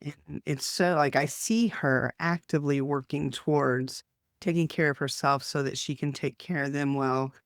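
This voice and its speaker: A man's voice: on the high side, steady tone, normal speed, a bit bright, no room sound, clean studio sound. A man in his fifties with a Texan accent, hosting an interview in an enthusiastic, chatty way. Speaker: host.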